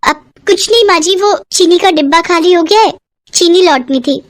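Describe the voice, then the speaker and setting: A woman's voice: extremely high pitch, moderate pace, pitched above the performer's own voice. A woman voicing a meek young daughter-in-law in a cartoon, with a polite, pleading manner. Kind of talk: cartoon voice acting.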